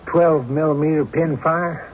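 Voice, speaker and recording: A man's voice: fairly high pitch, fairly even intonation, unhurried, natural voice. An actor playing an uneasy, plain-spoken country man in an old-time radio drama, with a hesitant rustic manner and a muffled recording. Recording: muffled, faintly noisy radio broadcast.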